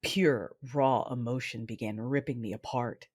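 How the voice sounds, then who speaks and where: A woman's voice: rumbling low, fairly even intonation, unhurried, husky, exaggerated voice. An actress playing a vengeful, anguished Sith warrior, narrating her own tale in an intense, brooding, theatrical manner for an audio drama. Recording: loud music behind the voice. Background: loud dramatic music.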